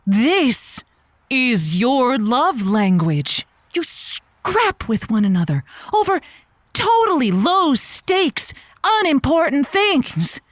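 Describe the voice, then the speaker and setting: A woman's voice: high voice, big pitch swings, slow pace, no echo, full character voice. A woman playing a chatty radio call-in host in an audio comedy drama, a coaxing, exasperated peacemaker with an emphatic way of talking. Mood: delighted, knowing.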